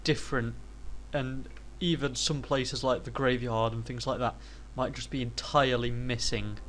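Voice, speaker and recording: A man's voice: medium pitch, steady tone, normal speed, a bit bright, slight background noise. A man with a cold hosting a casual let's play, talking his thoughts through in a hesitant, rambling way with many restarts. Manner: rambling, hesitant, self-correcting. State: has a cold. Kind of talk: casual gameplay commentary.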